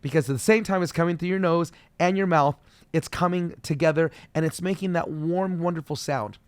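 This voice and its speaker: A middle-aged man teaching as a vocal coach, friendly and explaining slowly with demonstrations. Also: a little high, clear, resonant tone, dry recording. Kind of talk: solo video voice lesson.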